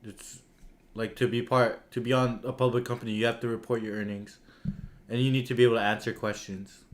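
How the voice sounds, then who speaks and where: A man's voice: medium pitch, level tone, fairly quick. A man hosting a livestream with casual, slangy reaction commentary in an informal way. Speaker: livestream host.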